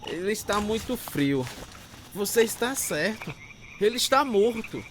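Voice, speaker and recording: A man's voice: high voice, lively intonation, unhurried, slight background noise. An actor playing a troubled recovering alcoholic man, narrating his life story in an earnest, confessional way. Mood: distressed, weak. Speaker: narrator and protagonist. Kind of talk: dramatized testimony narration with acted scenes.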